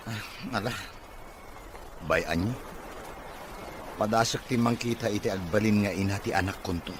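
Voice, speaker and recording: A man's voice: fairly low pitch, moderate pace, noisy background, close to an everyday voice. A man playing a bashful, hesitant bachelor son in an audio drama. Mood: reluctant. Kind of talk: Ilocano audio drama acting.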